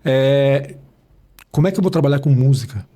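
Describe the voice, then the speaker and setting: A man, hosting a casual interview with chatty, quick interjections. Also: mid-range voice, animated, dark tone. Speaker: interview host.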